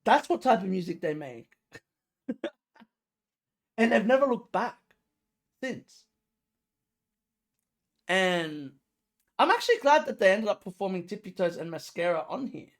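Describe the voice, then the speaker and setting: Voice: medium pitch, big pitch swings, a little slow, pauses often. A reaction video host giving casual, slangy music commentary, emphatic and repetitive, often throwing out rhetorical questions. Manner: informal, slangy.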